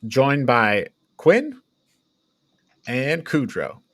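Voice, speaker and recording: Slow talking guy hosting a laid out movie podcast, mid pitch, really dry sound. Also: wildly swooping pitch, no echo. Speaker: podcast host. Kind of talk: casual movie review chat.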